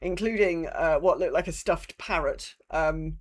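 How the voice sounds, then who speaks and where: A woman's voice: deep voice, a little slow. A woman with a British accent chatting casually as a podcast co-host, telling rambling anecdotes with wry understatement. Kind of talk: casual chat.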